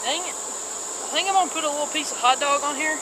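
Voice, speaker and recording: A man's voice: very high-pitched, quick pace. A man chatting casually to the camera while fishing by a creek, talking to himself as he goes. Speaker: outdoors vlogger. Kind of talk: casual fishing vlog chat. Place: outdoors by a creek among trees. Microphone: camera on a stand a few feet away. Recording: outdoor camera audio with insect noise. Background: insects and crickets chirping.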